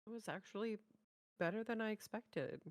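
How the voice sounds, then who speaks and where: A woman's voice: mid-range voice, moderate pace, dry recording, studio quality. A woman co-hosting a reaction show in casual chat.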